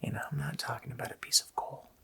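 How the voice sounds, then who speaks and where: A man voicing a sinister, taunting Christmas demon in a slow, hoarse, menacing whisper for an ASMR roleplay. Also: a bit bright, lightly acted. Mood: boastful menace. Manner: slow, menacing, drawn-out phrasing.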